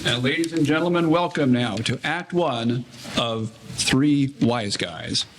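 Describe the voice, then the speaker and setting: 1940s radio announcer, male actor, showy and courteous, unhurried, lively intonation. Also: medium pitch. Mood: showy, formal. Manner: formal, theatrical. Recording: roomy echo, clear background noise.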